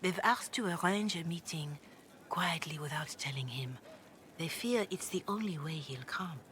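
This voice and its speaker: Solemn holy woman in a video game, deep for a woman, hushed n formal, earnest pleading, noisy ambience behind. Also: normal speed. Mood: grave, concerned. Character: solemn, devout chantry cleric woman, gently persuasive. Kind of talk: video game dialogue acting. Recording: clear background noise, game ambience.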